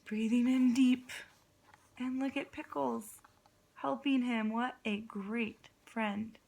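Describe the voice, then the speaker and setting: A woman's voice: fairly high pitch, fairly even intonation, takes it slowly, vocal fry, darkish tone. A woman kindergarten teacher reading a story aloud expressively, guiding young listeners with simple questions and dramatic phrasing.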